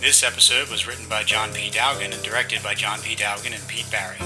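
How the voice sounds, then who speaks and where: A man's voice: lowish voice, level tone, fairly quick. A man reading scripted end credits as an announcer. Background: guitar music.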